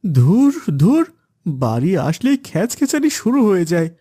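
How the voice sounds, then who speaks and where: A man's voice: high-pitched, very animated, moderate pace, warm tone, slightly rough, heavily acted. A man voicing a stern, lecturing father figure in a children's cartoon. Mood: gruff, irritated. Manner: lecturing, admonishing. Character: stern preachy father, later gruff scolding gambler uncle. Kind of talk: Islamic children's cartoon dubbing.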